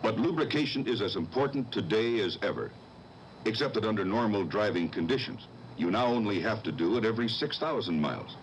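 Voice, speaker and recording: A man's voice: fairly low pitch, expressive, a little fast. An older man giving a folksy scripted commercial address as a car company spokesman. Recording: faintly noisy 1970s TV tape.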